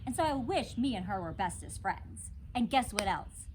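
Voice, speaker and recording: A woman's voice: medium pitch, very animated, moderate pace, noisy background. A woman reading a children's book aloud as a storytime reader.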